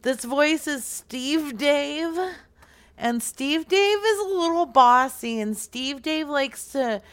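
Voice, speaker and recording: A woman's voice: high voice, expressive, slow pace. A woman in her forties making a playful, flirtatious prank phone call. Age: 40s. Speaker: prank caller.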